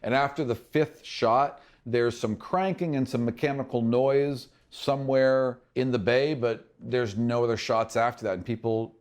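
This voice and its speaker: A man's voice: medium pitch, fairly even intonation, a little slow, few pauses. A man narrating a fantasy tabletop game as game master, descriptive and informal.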